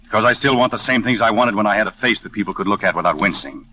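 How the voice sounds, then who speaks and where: An actor playing a bitter young wounded war pilot, brooding and intense, in a 1940s radio drama. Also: male voice, on the low side, fast talker, pushed and bright, muffled recording. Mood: bitter, despairing. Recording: old 1940s radio recording, faint noise.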